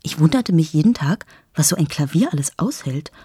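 An actress in her thirties playing a cynical, sharp-tongued journalist in a radio play monologue, speaking in sardonic, confiding asides to the listener. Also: sits low, expressive, a little fast, breathy edge, no room sound, clean studio sound, heavily acted. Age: 30s. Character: cynical 38-year-old woman journalist, sharp-tongued, mocking.

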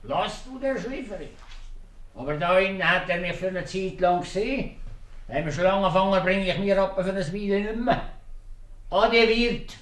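An actor playing an outspoken, teasing tavern guest with a Swiss accent and a folksy, needling manner in a 1950s radio comedy. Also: male voice, sits high, moderate pace, a bit bright. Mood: indignant. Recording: roomy, distant old radio recording.

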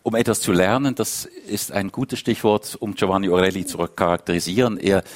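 A man's voice: deep voice, steady tone, a little fast. A man with a Swiss accent moderating a live literary interview, speaking thoughtfully and correcting himself as he goes. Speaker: panel moderator.

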